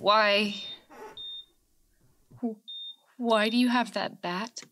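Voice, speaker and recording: A woman playing a frightened, traumatised captive in an audio horror drama, halting and pleading. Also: mid-range voice, fairly even intonation, fairly bright tone, slight background noise. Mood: frightened defiance.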